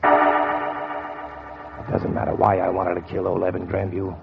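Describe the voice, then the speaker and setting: A man's voice: low-pitched, wildly swooping pitch, slow, creaky, music in the background, exaggerated voice. An actor playing a bitter, cold-blooded man bent on revenge, narrating in brooding 1940s radio noir style with sardonic deliberation. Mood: brooding, vengeful. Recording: dull, noisy 1940s radio recording.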